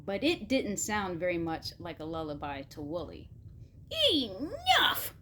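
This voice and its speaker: A woman reading a children's storybook as expressive scripted narration, deliberately, pausing between short phrases. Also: deep voice, heavy background noise. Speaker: storybook reader.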